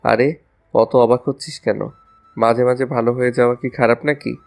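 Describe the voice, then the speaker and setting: A man's voice: mid-range voice, fairly even intonation, normal speed, gravelly, a little mellow, soft background music, natural voice. A man playing a lovestruck, casual young college student in a romantic audio story.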